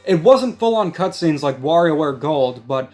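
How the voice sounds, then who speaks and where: A man with a fairly high voice talks through points in a measured, explanatory way. It is casual co-host chat, with faint music behind him. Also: expressive, a little mellow. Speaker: co-host of a gaming discussion. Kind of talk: casual discussion. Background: background music.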